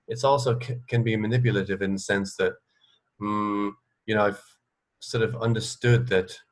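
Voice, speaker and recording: A male radio interviewer with a slightly low voice. He speaks conversationally and thoughtfully, working through his ideas as he goes. He often restarts and rewords his sentences in a hesitant way. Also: fairly even intonation, moderate pace. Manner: hesitant, self-correcting, thoughtful.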